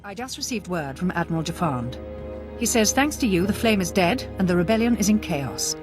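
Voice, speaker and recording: A woman's voice: mid-range voice, animated, fairly quick, lightly acted. Casting: actress as efficient female military agent for a game, businesslike, polite, music underneath. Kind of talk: video game cutscene acting.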